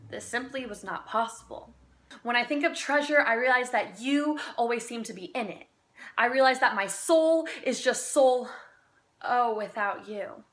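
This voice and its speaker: A woman amateur poet recites spoken-word slam poetry in an earnest, deliberate manner with dramatic pauses. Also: fairly high pitch, fairly bright tone.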